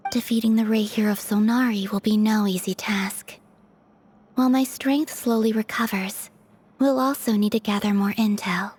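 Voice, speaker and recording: An actress voicing a gentle, frail, otherworldly maiden with a soft, sincere manner in a video game. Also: female voice, mid-range voice, steady tone, normal speed, slight background noise, lightly acted. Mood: resolute.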